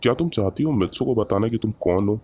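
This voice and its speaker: A bossy cartoon bird-man from another planet, acted by a man, speaks in a lowish voice. He is a commanding superhero mentor who lectures with pointed questions. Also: lively intonation, fast talker, dark tone, husky. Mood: placating.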